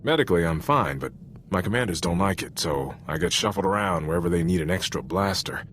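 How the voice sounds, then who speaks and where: A loyal male soldier in a cinematic sci-fi game. His low voice moves with lively intonation, frank and sincere in an informal military way. There is faint noise in the background. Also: quick pace. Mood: confiding, troubled. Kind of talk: cinematic video game voice acting.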